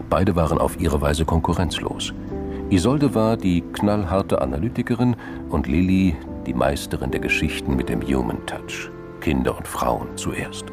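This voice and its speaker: A male narrator of a radio crime drama with an exceptionally deep voice. It crackles with gravelly fry as he reads literary prose. Music plays underneath.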